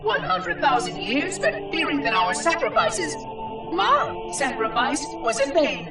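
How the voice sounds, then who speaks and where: An actor voicing a fussy, ancient robot caretaker in a video game monologue, prissy, formal and theatrical, aggrieved and coldly superior. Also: male voice, extremely high pitch, wildly swooping pitch, unhurried, full character voice. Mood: indignant. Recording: echoing, distant voice under loud music. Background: loud dramatic music.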